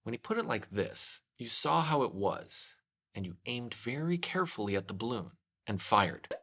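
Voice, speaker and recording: Male storybook narrator, medium pitch, bright tone, reading to children. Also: expressive, normal speed. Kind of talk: children's story read-aloud, scripted narration.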